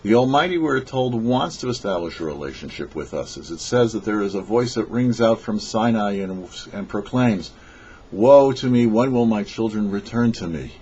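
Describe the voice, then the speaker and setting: A man delivering a scripted religious teaching as a rabbi, in a measured, emphatic way with rhetorical questions. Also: lowish voice, expressive, darkish tone, a little hiss or hum. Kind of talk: scripted sermon read for a radio show. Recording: dull, lo-fi audio.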